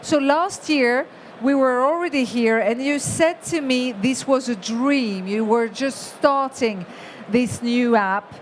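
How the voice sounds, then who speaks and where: A woman in her forties hosting on an expo hall stage, rousing the audience in a lively way. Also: sits high, slow, a little hiss or hum. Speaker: stage host. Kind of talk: event hosting.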